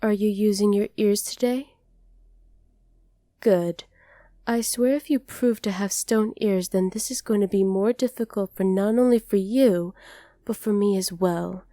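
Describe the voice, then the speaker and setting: Woman playing a possessive yandere dragoness, soft dark tone, close dry sound, condescending, teasingly menacing, ASMR roleplay. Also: medium pitch, dry recording, natural voice. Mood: stern, condescending. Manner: condescending, deliberate, addresses the listener intimately.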